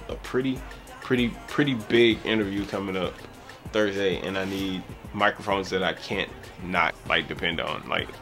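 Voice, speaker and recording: A man in his thirties speaks to the camera from a home office lined with bookshelves. His voice sits on the low side, and he talks in an earnest, emphatic way, doubling up words to drive a point home. Soft music plays faintly underneath. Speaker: vlogger. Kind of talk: casual advice vlog. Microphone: camera mic at arm's length.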